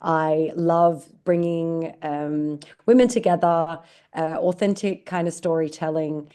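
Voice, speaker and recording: A woman with an Australian accent, a podcast guest talking in a relaxed, conversational way with frequent uh fillers. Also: deep voice, takes it slowly, clear, resonant tone. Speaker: guest, marketing director. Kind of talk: podcast interview.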